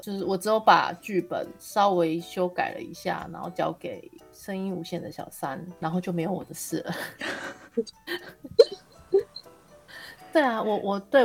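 A woman with a Taiwanese Mandarin accent, an author chatting casually as a podcast guest, telling things in a jokey way. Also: on the low side. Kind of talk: casual chat.

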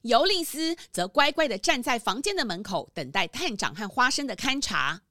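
A woman giving gentle, expressive scripted storybook narration for a children's mystery. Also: fairly high pitch, moderate pace, no echo, clean studio sound. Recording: soft music bed.

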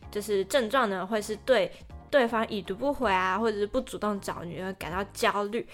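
A woman's voice: mid-range voice, brisk, soft background music. A young woman with a Taiwanese Mandarin accent, co-hosting a podcast and chatting casually through prepared points. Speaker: podcast co-host.

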